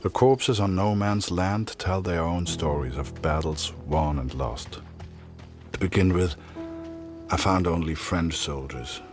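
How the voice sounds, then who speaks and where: An actor playing a grave, weary middle-aged soldier, narrating solemnly and with measure in a dramatic game. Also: male voice, low voice, unhurried, music in the background. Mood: solemn, haunted. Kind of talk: scripted dramatic narration, letter-like.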